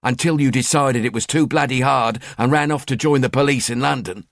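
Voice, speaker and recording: A man's voice: medium pitch, a little fast, creaky, dry recording, studio quality, close to an everyday voice. A man voicing a cynical middle-aged detective with a Scottish accent, grumbling and blunt.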